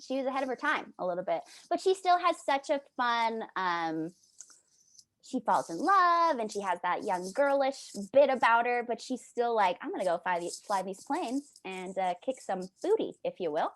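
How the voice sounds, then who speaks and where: A woman's voice: a little high, big pitch swings, normal speed, no echo. A woman, an audio drama actress, talking informally in a casual group chat.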